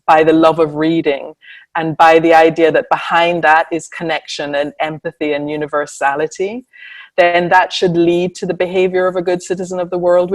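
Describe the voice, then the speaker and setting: A woman's voice: deep voice, far from the mic. A woman writer giving an earnest, thoughtful answer on a webinar panel in long, building sentences.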